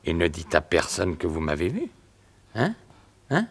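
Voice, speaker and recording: A hot-headed fugitive in an old radio play, his low voice swinging wildly between pleading and menace. He bullies and insists without pause. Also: wildly swooping pitch, breathy edge, heavily acted. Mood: menacing. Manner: pleading then bullying, swings from low to shouting. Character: accused young man protesting innocence, hot-tempered. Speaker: escaped prisoner Eric Anderson. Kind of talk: 1950s French radio drama acting.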